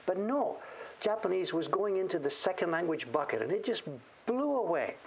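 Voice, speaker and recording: A man's voice: high voice, big pitch swings, moderate pace, gravelly, a little hiss or hum, low-quality recording. A middle-aged man hosting a show-and-tell, chatting casually in a rambling, self-deprecating way. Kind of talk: casual livestream chat.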